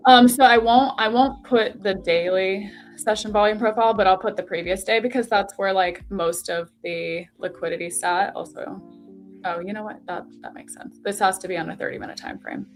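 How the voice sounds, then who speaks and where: A young woman hosts a live trading stream in a loose, chatty way, full of "um" and "like." Her voice sits at an ordinary middle pitch for a woman. Soft music plays faintly behind her. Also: fairly even intonation, normal speed. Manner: chatty, rambling with many fillers. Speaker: trading livestream host. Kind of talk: casual live chat while trading on screen.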